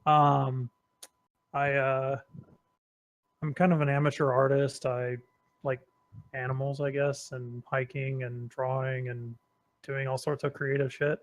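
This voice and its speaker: A man from Northern California, laid-back and hesitant, with many pauses and ums. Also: mid-range voice, level tone. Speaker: player.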